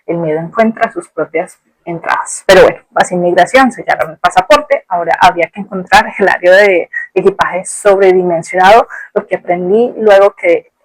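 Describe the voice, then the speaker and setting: A woman's voice: on the low side, moderate pace, hardly any pauses, dry recording, poor audio. Colombian woman telling a personal story, close-sounding. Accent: Colombian Spanish. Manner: reflective, conversational, colloquial. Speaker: podcast host.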